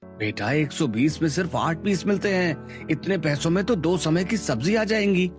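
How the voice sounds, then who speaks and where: A man's voice: on the high side, lively intonation, normal speed, over music, natural voice. A man playing a practical, thrifty, resigned father of a poor family in a cartoon story. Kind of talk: Hindi cartoon story dialogue.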